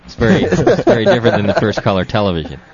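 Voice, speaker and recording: A man's voice: on the low side, wildly swooping pitch, rapid-fire, slightly rough. A man hosting a casual comedic talk show, laughing often and teasing his co-host. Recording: dull lo-fi 2000s recording, dry.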